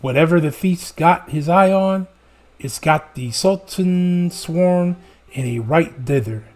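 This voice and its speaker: A man putting on a gruff streetwise rogue. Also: a little high, takes it slowly, a little mellow, voice pushed up. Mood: wary. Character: rough gruff male rogue, streetwise and blunt.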